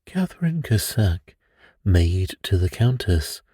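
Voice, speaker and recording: Low, slow, husky male bedtime narrator. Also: very animated, warm tone, no room sound, clean studio sound. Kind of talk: slow, calm scripted narration of a classic detective story.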